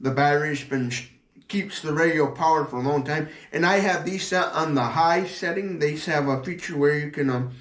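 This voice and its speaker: A man's voice: a little high, moderate pace, a bit bright. A man giving a casual, unscripted product review in a rambling, repetitive, plain-spoken way. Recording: echoey room sound.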